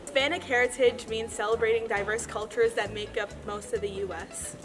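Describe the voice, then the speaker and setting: A woman's voice: on the high side, steady tone, brisk, music in the background. A teenage girl giving short, composed interview answers. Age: teens. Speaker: interviewed student.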